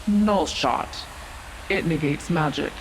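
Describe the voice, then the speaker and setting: A woman playing a proud, cunning otherworldly female stranger in an audio drama, speaking in a measured, formal, dryly sarcastic manner. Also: sits low, slight background noise, low-quality recording. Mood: matter-of-fact, instructive. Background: prominent music.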